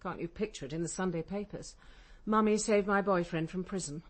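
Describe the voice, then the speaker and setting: An actress as a middle-aged English woman barrister in a radio crime drama. Her voice sits fairly low, and she is imperious and brittle, speaking in clipped, insistent commands. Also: a little fast, lightly acted. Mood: bitter, determined. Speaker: actor.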